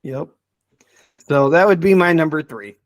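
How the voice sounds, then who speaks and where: Higher-pitched man in enthusiastic podcast chat. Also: animated, a little slow, no echo. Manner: enthusiastic, chuckles while talking. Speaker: podcast guest presenting a ranked list.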